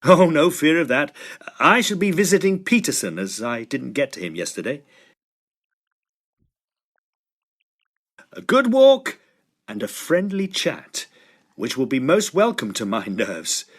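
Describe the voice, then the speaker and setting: An earnest young Victorian student played by a man speaks in a slightly high, very animated voice. He is blunt and emphatic, with old-fashioned phrasing suited to a period audio drama. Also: normal speed. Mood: uneasy, confiding. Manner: emphatic, old-fashioned turns of phrase, some hesitant stammering.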